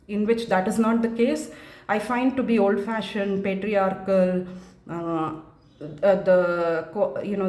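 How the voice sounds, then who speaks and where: A woman in her forties from India speaks frankly and thoughtfully as a mental health advocate, pausing often on "uh" and "um" in a reflective monologue. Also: mid-range voice, a little mellow. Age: 40s. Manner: thoughtful, frank, many "uh" and "um" pauses. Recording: echoey room.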